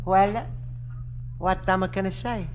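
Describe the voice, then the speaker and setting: An old radio comedy recording, muffled and clearly noisy. a meek, earnest Italian immigrant with a very high male voice. He speaks plaintive, simple broken English with an Italian accent. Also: fairly even intonation, unhurried. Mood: meek, pleading. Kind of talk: 1950s radio comedy acting.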